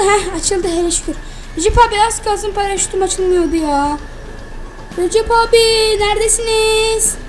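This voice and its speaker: A woman's voice: extremely high pitch, rough recording. A woman voicing an eager, childish spider boy in a toy cartoon. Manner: childish, excitable. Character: eager boyish spider kid.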